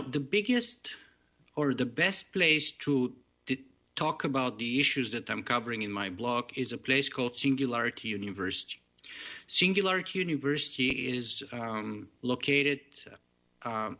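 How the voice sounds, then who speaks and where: A man with a heavy Bulgarian accent speaks in a bright, forceful tone and a middle pitch. He tells his story as a guest in an earnest, measured way. English is not his first language. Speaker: podcast guest. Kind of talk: interview, telling his story.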